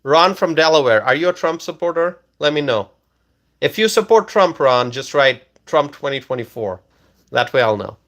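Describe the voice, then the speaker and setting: A man from Bangladesh hosting a casual livestream, reading viewer comments aloud in a slow, halting way with many ums and uhs. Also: fairly high pitch, lively intonation, a bit bright. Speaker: livestream host.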